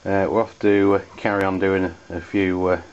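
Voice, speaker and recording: Deep-voiced English man, halting delivery. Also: little pitch movement, lo-fi sound. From United Kingdom. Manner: halting, hesitant phrasing. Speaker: CB radio enthusiast reviewing gear. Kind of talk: hobbyist product demonstration.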